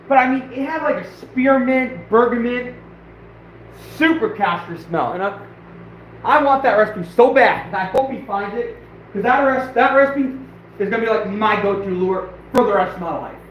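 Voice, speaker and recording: A hoarse, fairly high-voiced man hosts a rambling outdoor livestream, laughing often and getting worked up. He sounds distant from the phone, and the audio is heavily echoey and dull. A faint hiss sits underneath. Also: moderate pace. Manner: rambling, laughing, blunt and excitable. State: hoarse, losing his voice. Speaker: trapper and channel host. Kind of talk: casual livestream chat.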